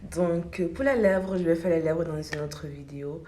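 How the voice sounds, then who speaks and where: A young woman talking straight to her phone in a casual beauty vlog. Her voice is low and mellow, and she leans hard on her words and repeats them for emphasis. The room around her has a noticeable echo. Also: moderate pace, slight background noise. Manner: emphatic, repetitive, animated storytelling. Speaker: beauty vlogger. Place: room at home while doing makeup. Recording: echoey room.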